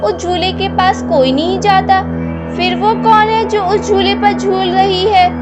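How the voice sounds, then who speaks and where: A woman voicing a curious, eager young niece with expressive questioning in a storybook horror tale. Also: extremely high pitch, unhurried, lo-fi sound, over loud music, voice pushed up. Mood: alarmed wonder. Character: curious young niece visiting her village aunt. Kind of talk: animated horror storybook character voice.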